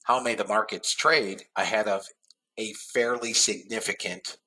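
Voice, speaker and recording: A man's voice: lowish voice, fairly even intonation, measured pace, pushed and bright, slight background noise, rough recording. Nasal man with a head cold. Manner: rambling, frequent uh fillers and restarts. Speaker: trading room host. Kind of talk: live trading webinar talk.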